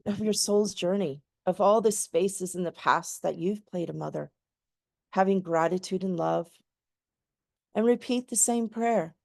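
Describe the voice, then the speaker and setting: A woman leading a guided meditation in a soothing, gentle voice with lulling phrases. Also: fairly low pitch, creaky, no room sound, studio quality.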